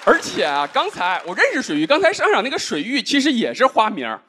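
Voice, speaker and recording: A man in his thirties performing a deadpan stand-up comedy set on a large studio stage, speaking into a handheld microphone. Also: very high-pitched, fast, breathy edge, some chatter behind. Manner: dry, deadpan delivery with rhetorical asides. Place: large indoor studio stage with live audience. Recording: live audience laughter.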